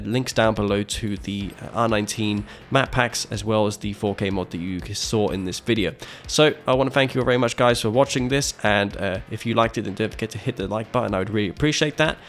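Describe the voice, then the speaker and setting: A young man giving casual, animated play-by-play commentary on a strategy match he played, with frequent fillers and restarts. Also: lowish voice. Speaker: video game caster commentating his own match.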